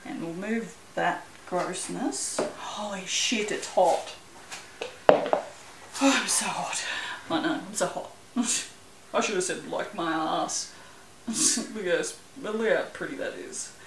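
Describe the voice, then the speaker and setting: Australian woman, easygoing craft vlogger, unhurried delivery, echoey workshop room. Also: lowish voice, noisy background. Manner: chatty, rambling, laughs often, swears casually. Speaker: soap-making channel host. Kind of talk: casual chat while working.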